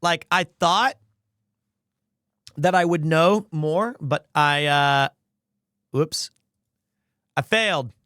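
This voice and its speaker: A man's voice: a little high, very slow, long pauses, sharp tone, no echo, clean studio sound. A man chatting casually on a game livestream in a self-deprecating, informal way. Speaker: game streamer.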